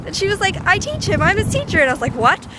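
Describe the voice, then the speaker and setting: A woman's voice: very high-pitched, sing-song, fast. A teenage girl rambling casually into her phone camera at a bus stop, full of "like" and self-deprecating asides. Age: teens. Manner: chatty, lots of "like", self-deprecating. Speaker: vlogger. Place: outdoors by a bus stop on a street. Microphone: phone camera held at arm's length. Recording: loud traffic noise. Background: passing cars and trucks.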